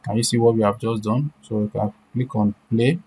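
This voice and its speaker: Very deep-voiced woman giving a tutorial. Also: fairly even intonation, a little fast, mellow, slight background noise, rough recording. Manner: repetitive, checking with listeners often. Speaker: tutorial presenter. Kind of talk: informal screen-share software tutorial.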